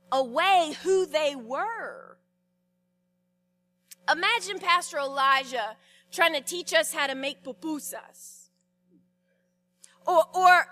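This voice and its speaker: A woman pastor delivering a sermon, telling the story expressively with repetitions and dramatic pauses. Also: high voice, pushed and bright.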